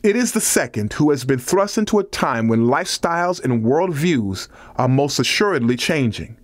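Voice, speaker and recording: A man reads scripted nonfiction as an audiobook narrator, with measured, deliberate phrasing. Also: mid-range voice, expressive, no room sound, studio quality.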